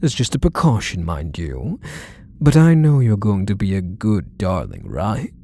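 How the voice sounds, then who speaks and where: A man's voice: on the low side, big pitch swings, measured pace, mellow, no room sound, heavily acted. A man playing a possessive, doting kidnapper husband in an intimate ASMR roleplay, cooing and coaxing with pet names. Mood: sweetly possessive. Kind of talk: ASMR roleplay audio drama, intimate monologue to the listener.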